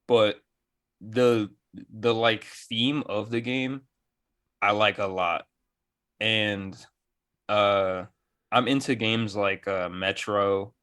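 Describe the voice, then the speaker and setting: A man's voice: lowish voice, fairly even intonation, very slow, long pauses, fairly bright tone, clear, resonant tone. A man chatting casually as a gaming podcast co-host, enthusiastic, with plenty of slang and swearing.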